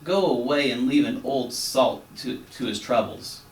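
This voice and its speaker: A man voicing an old male frog sea captain who talks in hearty, loud exclamations. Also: medium pitch, measured pace, lightly acted. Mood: grumbling. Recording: roomy, slightly distant, faint hum.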